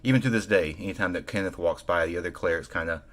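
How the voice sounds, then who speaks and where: A man voicing a stoic young half-orc male cleric in a low, slightly gravelly voice, halting and flat with many pauses.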